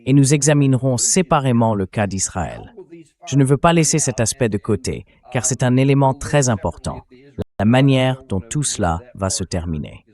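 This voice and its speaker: A man's voice: medium pitch, lively intonation, normal speed, a little mellow. A man hosting a political interview in a dubbed translation, building up long, discursive questions. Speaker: interview host.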